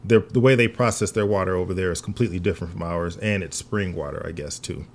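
A man chatting casually on a podcast, an animated storyteller who laughs often. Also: sits low, quick pace, slight background noise. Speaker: podcast guest. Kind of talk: casual chat.

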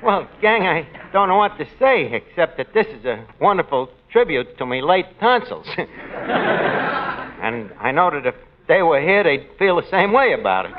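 An actor playing a boastful tavern manager, talking in mangled, mock-grand grammar in a 1940s radio sitcom. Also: male voice, a little high, very animated, moderate pace. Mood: mock-sentimental. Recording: dull old radio broadcast with hiss.

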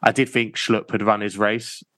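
A man's voice: fairly low pitch, little pitch movement, normal speed, fairly bright tone, no echo, clean studio sound. A man co-hosting a football podcast, thinking aloud in a hesitant way with many fillers. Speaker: podcast co-host. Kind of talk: casual football chat.

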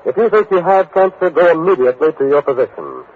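An American man announces on 1950s radio with formal, earnest emphasis. His voice is husky and swings expressively. The recording is thin and phone-like. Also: a little high, very animated, fast.

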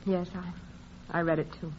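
A woman plays a proud, tender young heroine in a vintage radio drama. She speaks softly, with restrained emotion. The old recording is muffled with a faint hiss. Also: deep voice, little pitch movement, moderate pace, lightly acted. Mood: proudly resolute.

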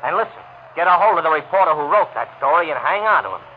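An American actor playing a brusque, suspicious, seasoned homicide lieutenant in a 1940s radio crime drama. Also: male voice, a little high, expressive, very fast, lightly acted. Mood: agitated. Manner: gruff, informal, clipped. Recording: tinny, hissy old radio broadcast.

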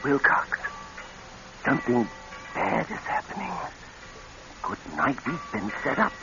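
An actor playing a nervous, indignant crook, agitated and halting, in a radio drama. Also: male voice, mid-range voice, big pitch swings. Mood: alarmed. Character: nervous, indignant male crook, easily rattled. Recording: muffled old radio show, faint music.

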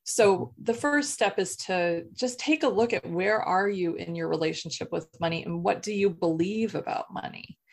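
A woman explains her coaching work calmly and in measured steps. Her voice sits low and carries a gravelly fry. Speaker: life and business coach, guest. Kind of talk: interview answers.

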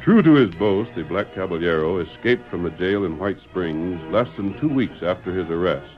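A man's voice: low-pitched, expressive, normal speed, over music. A man with an American accent narrating an old-time radio western in a grand, declamatory announcer style. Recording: dull vintage radio recording.

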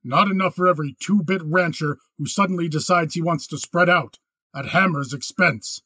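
A man voicing an angry, cold young cattle rancher in an audiobook western, flat, clipped and challenging. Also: on the high side, a little slow, breathy edge, dry recording, exaggerated voice. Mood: harsh, snapping.

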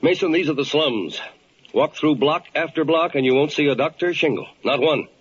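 A man's voice: a little high, close to an everyday voice. Flustered chief doctor played by a man, old radio. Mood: earnest, troubled. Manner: halting, emotional, dramatic delivery. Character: flustered, weary male hospital chief doctor, earnest and compassionate. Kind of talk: old-time radio drama acting. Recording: dull, hissy old radio recording.

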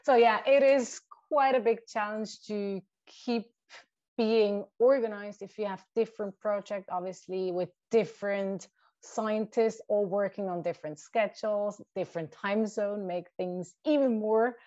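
A woman's voice: mid-range voice, steady tone, measured pace, warm tone, dry recording. A woman from Switzerland whose first language is Swiss German, a shark scientist answering interview questions thoughtfully, listing points with small restarts. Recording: dull lo-fi dry sound.

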